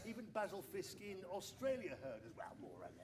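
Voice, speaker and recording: Actor as very high-voiced 1920s wireless engineer. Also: male voice, very animated, fast, a little mellow. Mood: pleased. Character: busy 1920s wireless engineer running a broadcast. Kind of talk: radio drama acting.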